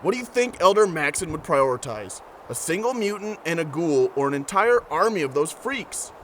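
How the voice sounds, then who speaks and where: A man playing a pragmatic, gruff, exasperated soldier in an audio drama, with light ambient noise behind. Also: a little high, big pitch swings, moderate pace, a bit bright, rough recording. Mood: urgent, reasoning. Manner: tense, swearing, reasoning. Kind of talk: post-apocalyptic audio drama acting.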